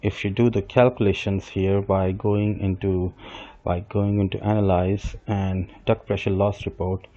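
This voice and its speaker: A middle-aged man giving a screen-recorded software tutorial, explaining each step as he works and often restarting his sentences. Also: low voice, little pitch movement, unhurried. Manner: explains step by step while working, with small restarts and self-corrections. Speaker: software instructor. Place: desk with computer, voice-over on screen capture. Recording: dull lo-fi voice recording.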